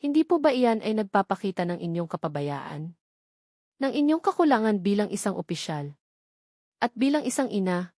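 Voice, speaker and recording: A woman's voice: mid-range voice, big pitch swings, moderate pace, a little mellow, no room sound, clean studio sound. A woman with a Filipino accent voicing a hard, relentless male lawyer questioning formally in a dramatic story. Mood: accusing. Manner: formal, pressing interrogation.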